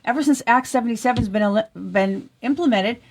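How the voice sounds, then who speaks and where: A woman, a guest on a talk radio show, speaking loudly and forcefully with steady emphasis. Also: a little high, fairly quick. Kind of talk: talk radio political chat.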